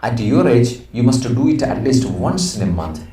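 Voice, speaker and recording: A middle-aged man with an Indian accent reads a school project script aloud as an English teacher, explaining it deliberately in short, didactic phrases. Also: mid-range voice, sing-song, dark tone. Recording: roomy echo, distant mic, faint noise.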